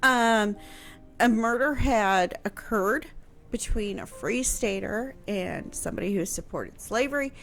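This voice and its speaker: A woman's voice: mid-range voice, lively intonation, measured pace, a bit bright. A woman explaining history in casual conversation on a radio show, piecing her sentences together hesitantly. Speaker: history show host answering questions.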